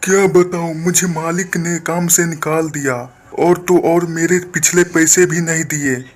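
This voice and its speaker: A man's voice: fairly high pitch, fairly even intonation, measured pace, faint music behind, lightly acted. A man voicing a poor, humble village samosa seller, meek and plaintive, in a cartoon moral story. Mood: dejected.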